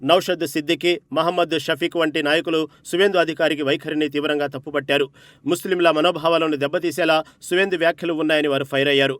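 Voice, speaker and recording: A man's voice: on the high side, fairly even intonation, fast talker, fairly bright tone, no echo. A man reading a scripted news report as narrator, with an emphatic, dramatic delivery.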